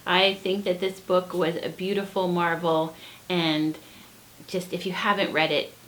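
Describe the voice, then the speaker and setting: A woman's voice: lowish voice, little pitch movement, measured pace, a bit bright, slight background noise. A woman co-hosting a book vlog, explaining her recommendations in a casual, earnest and appreciative way.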